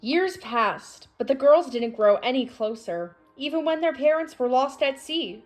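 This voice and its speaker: A woman reading a children's storybook aloud in an expressive storytelling manner. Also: high-pitched, measured pace. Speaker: storybook reader.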